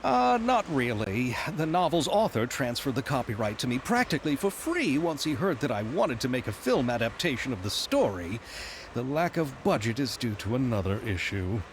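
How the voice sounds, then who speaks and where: A man's voice: medium pitch, sing-song, normal speed, slight background noise. A man voicing a nervous, earnest young film director who talks hesitantly and apologetically, eager to please, in a video game. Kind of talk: game voice acting. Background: game music.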